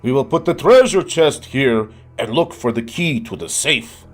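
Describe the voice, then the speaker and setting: A man's voice: mid-range voice, expressive, unhurried, faint music behind, voice pushed up. A man voicing a smug crook in a vampire costume, theatrical and pleased with himself, for a storybook. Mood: pleased, scheming. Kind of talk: read-aloud storybook voice.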